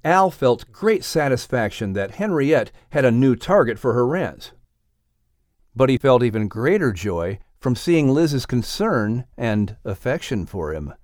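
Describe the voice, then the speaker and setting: Animated male audiobook narrator. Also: medium pitch, unhurried, no room sound, studio quality. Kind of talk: scripted children's story narration.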